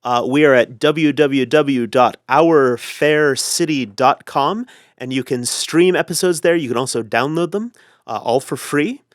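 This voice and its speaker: A man speaking as a podcast guest, giving casual, somewhat halting announcements and chat. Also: medium pitch, dry recording, clean studio sound. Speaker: interview guest.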